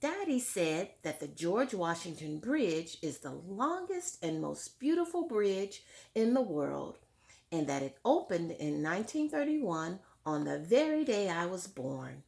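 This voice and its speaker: A woman's voice: on the low side, sing-song, a little slow, gravelly, darkish tone, full character voice. A woman reading as a dreamy eight-year-old girl. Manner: proud, dreamy first-person storytelling. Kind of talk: reading a picture book aloud.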